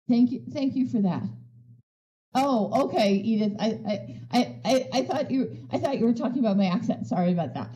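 A woman speaking on a conference panel in a casual, excitable way, restarting her sentences often and laughing. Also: mid-range voice, lo-fi sound. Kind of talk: conversational panel talk. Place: virtual world session via voice chat.

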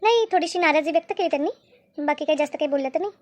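Cartoon household drama, woman acting elder sister-in-law, high pitch, bossy, sly, brisk, repetitive. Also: lightly acted. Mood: brisk, instructing. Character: bossy, two-faced elder sister-in-law running the kitchen. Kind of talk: cartoon family drama dialogue.

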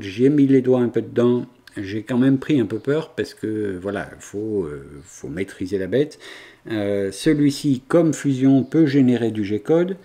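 A man's voice: medium pitch, warm tone. A man in his forties, a woodworker, explaining his machine setup in a casual, hesitant way with many fillers, recorded in his workshop. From France. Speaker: woodworker and YouTuber. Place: large wood workshop, indoors.

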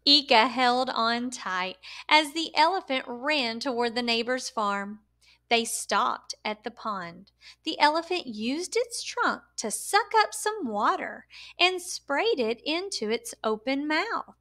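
A woman reading a children's picture book aloud as a storyteller, with expressive, deliberate and emphatic delivery for young listeners. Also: sits high.

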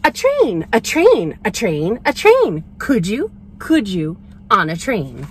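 A woman's voice: medium pitch, wildly swooping pitch, slow pace, a little hiss or hum. A woman voicing a persistent male storybook food pusher with coaxing, sing-song rhymed questions. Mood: eager coaxing. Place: inside a parked vehicle.

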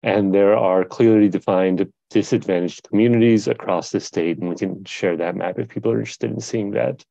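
Man, low mellow voice, dry muffled call audio, measured panel talk with fillers. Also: fairly even intonation, vocal fry, no room sound. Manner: measured, many fillers and pauses. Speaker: panelist, climate team leader. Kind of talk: presenting slides on a panel.

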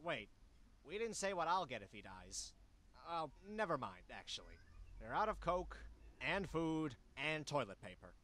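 A man's voice: a little high, sing-song, a little slow, sharp tone, full character voice. A man voicing a small, whiny slacker animal in his twenties, slangy and complaining, for a cartoon adventure game. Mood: casual. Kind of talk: voiced game dialogue.

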